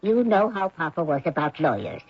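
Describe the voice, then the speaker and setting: A woman's voice: sits low, sing-song, normal speed, a little hiss or hum. An actress playing a sheltered, fretful elderly woman with a childlike manner in an old-time radio drama. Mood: fretful disbelief. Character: sheltered, fretful elderly sister clinging to Papa's ways. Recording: dull, muffled radio drama recording.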